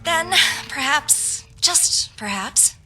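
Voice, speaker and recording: A woman playing an earnest, proud noble swordswoman and patriot in a video game, speaking in a measured, formal, idealistic way. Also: high-pitched, expressive, bright, forceful tone, exaggerated voice, poor audio. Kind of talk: video game roleplay dialogue. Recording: music behind the voice.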